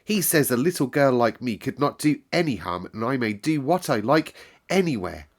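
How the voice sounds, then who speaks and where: A man's voice: medium pitch, sing-song, normal speed, pitched above the performer's own voice. A man reading aloud and voicing a sickly, sour little girl who speaks in an eager, pleading way. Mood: excited. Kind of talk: storybook read-aloud.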